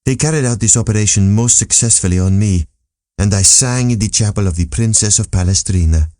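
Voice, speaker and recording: A man's voice: on the low side, brisk, dark tone, no room sound, natural voice. A friendly eunuch singer from Italy, played by a male narrator, speaks with courteous, sentimental feeling. It is an emotional character in a literary audiobook, recorded dry and close. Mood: wistful.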